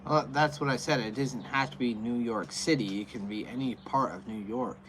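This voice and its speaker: A man's voice: medium pitch, normal speed, a bit bright, slight background noise, rough recording. Rambling American man chatting on a livestream. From USA. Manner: rambling, restarts with many fillers. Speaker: livestream host. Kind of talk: casual livestream chat answering viewer comments.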